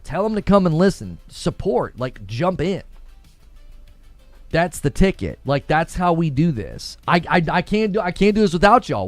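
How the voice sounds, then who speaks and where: A man with a slightly high voice talks casually as a livestream host, slangy and conversational. He moves between chatting and reading out viewer messages and shout-outs, repeating points for emphasis. The sound is close and dry, with light music behind him. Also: dry recording.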